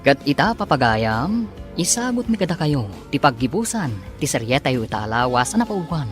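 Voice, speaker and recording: Animated male announcer, Filipino accent. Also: fairly high pitch, moderate pace. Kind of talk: dramatic radio serial announcing. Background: music.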